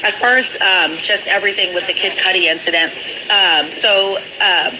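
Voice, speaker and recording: A slightly hoarse woman reporting on court testimony from her notes in a conversational, explanatory way. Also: medium pitch, fairly even intonation, moderate pace. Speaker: trial reporter. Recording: loud background noise throughout.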